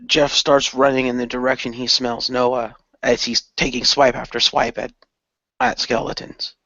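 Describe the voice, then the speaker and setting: Casting: male player-narrator, medium pitch, improvised action description, dry and slightly muffled recording. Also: flat delivery, moderate pace, sharp tone, no echo. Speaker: player narrating his character. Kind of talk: improvised tabletop-style narration.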